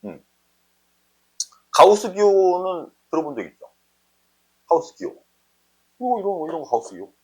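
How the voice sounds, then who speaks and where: Husky male math teacher, lively pitch. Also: moderate pace, pauses often, poor audio. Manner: conversational, often checks understanding with short questions. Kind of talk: informal explanatory math lesson, thinking aloud.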